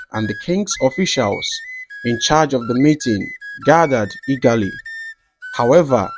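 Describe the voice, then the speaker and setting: A male storyteller narrates a scripted folktale at a middling pitch. His delivery is measured and dramatic, shaped for storytelling. Music plays behind his voice. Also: low-quality recording. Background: background music.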